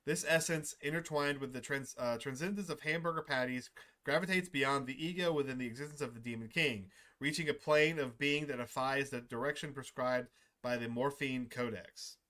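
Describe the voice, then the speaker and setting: A gaming YouTuber reading visual novel dialogue aloud in an expressive way, reacting along and sometimes stumbling over long words. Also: medium pitch, normal speed.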